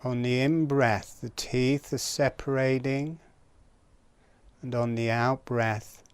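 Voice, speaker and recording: A man giving guided breathing and relaxation instruction in a calm, gentle manner, in short spaced phrases. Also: medium pitch, slow, smooth, no room sound.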